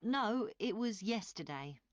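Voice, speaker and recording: Woman, obliging train passenger, matter-of-fact, clearly phrased scripted answers, dry close sound. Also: mid-range voice, lively intonation, slow pace, no echo, dull audio. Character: woman journalist, obliging but mildly critical train passenger. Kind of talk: scripted listening-test dialogue.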